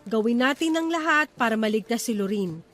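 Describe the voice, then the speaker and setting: A woman's voice: on the high side, expressive, brisk, fairly bright tone. An actress playing a steadfast, comforting Filipino wife and mother in a Tagalog radio drama. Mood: firm, comforting. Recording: music under the voice.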